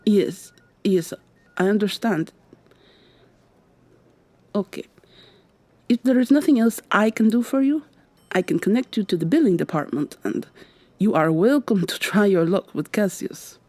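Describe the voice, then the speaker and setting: A woman's voice: mid-range voice, expressive, measured pace, long pauses, dry recording. A woman playing a weary, sardonic vampire receptionist with a secret, acting in a scripted ASMR roleplay. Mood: politely firm. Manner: polite customer-service patter, hushed urgent asides.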